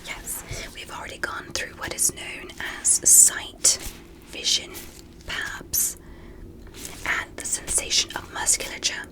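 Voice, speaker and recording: A woman voicing a gentle, curious alien doctor in an ASMR medical roleplay, with a breathy whisper and careful, encouraging, formal clinical phrasing. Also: slow, lightly acted, poor audio. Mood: gentle, methodical. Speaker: ASMR performer.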